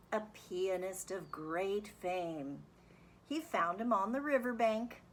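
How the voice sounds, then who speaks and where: A woman speaking as a music teacher, reading a children's story and explaining it in an animated, deliberate, instructive way. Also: fairly low pitch, a little hiss or hum. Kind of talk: expressive children's read-aloud and lesson.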